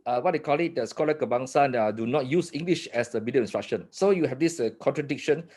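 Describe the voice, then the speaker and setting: Fast-talking Malaysian male host. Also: mid-range voice, darkish tone, breathy edge. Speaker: interview host. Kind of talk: casual conversational interviewing.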